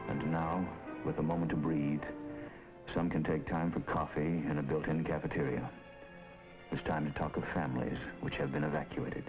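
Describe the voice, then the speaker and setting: A man narrating a documentary in a measured, solemn scripted delivery. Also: extremely low pitch, steady tone, over loud music. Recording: dull lo-fi 1950s film soundtrack. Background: film score under the voice.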